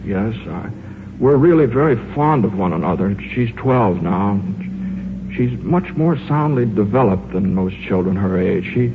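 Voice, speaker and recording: A man's voice: on the low side, expressive, a little slow. An actor playing a shy, earnest, absent-minded male scientist who stammers and repeats words, in 1950s radio drama style. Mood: bashful, awkward. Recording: noisy, tinny 1950s radio recording.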